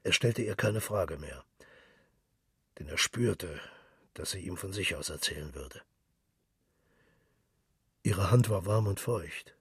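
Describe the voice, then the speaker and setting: A man with a husky, gravelly voice reads a literary story in as a dramatic radio narration, brooding and measured. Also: low voice, lively intonation, a bit bright, no room sound, clean studio sound.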